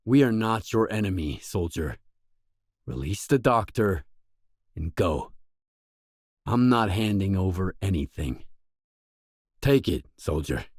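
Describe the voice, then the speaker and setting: Casting: pragmatic wasteland mercenary in a video game; lowish voice, dry close recording, restrained and matter-of-fact. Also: male voice, darkish tone, no echo. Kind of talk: video game character dialogue.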